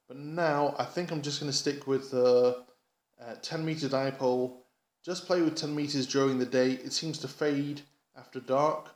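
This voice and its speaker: A man from England giving a casual hobby vlog update, rambling enthusiastically and haltingly, often repeating words as he goes. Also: mid-range voice. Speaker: amateur radio hobbyist vlogger. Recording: echoey, roomy sound.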